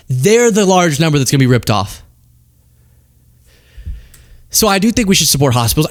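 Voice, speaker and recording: Animated, lively male political commentator. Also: a little high. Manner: animated, sarcastic, self-mocking, joking. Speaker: political commentary show host. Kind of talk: casual off-the-cuff political commentary.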